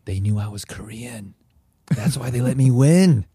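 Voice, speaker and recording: Animated storytelling man, lively voice. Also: mid-range voice, measured pace, vocal fry, breathy edge, no room sound, studio quality. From America. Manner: animated storyteller, acts out sounds and quotes. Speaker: podcast co-host. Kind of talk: casual chat.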